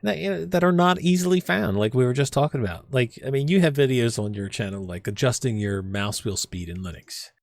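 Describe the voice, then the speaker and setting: A man with a mid-range voice hosts a casual interview, relaxed and friendly in his talk. His voice sounds dry and close to the microphone. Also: medium pitch, brisk, vocal fry, few pauses, dry recording. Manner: relaxed, friendly, says dude. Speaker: podcast host.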